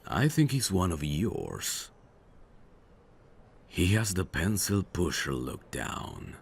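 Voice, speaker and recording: Very deep, gravelly, teasing mafia boss, acted by a man. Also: big pitch swings, a little hiss or hum, exaggerated voice. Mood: teasing, flirtatious. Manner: teasing, unhurried, suave. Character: smooth, teasing mafia boss, flirtatious and faintly menacing. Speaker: voice actor in an audio roleplay. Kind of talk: ASMR roleplay drama.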